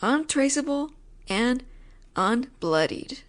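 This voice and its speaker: Woman playing an exasperated, high-voiced female mafia boss. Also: big pitch swings, slow, full character voice. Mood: apologetic, reassuring. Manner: wry, sighing, slangy wiseguy talk. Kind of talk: roleplay audio drama monologue.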